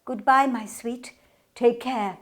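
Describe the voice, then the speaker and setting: A woman's voice: a little high, steady tone, slow pace. A woman playing a protective, devoted older sister in a radio play, speaking formally and earnestly. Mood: tender farewell. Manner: formal, earnest period speech.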